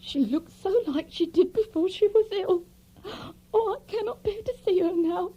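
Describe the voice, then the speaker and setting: A woman's voice: extremely high pitch, moderate pace, dull audio. An actress playing a grief-stricken Victorian woman, crying as she speaks in an audio drama. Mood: distraught.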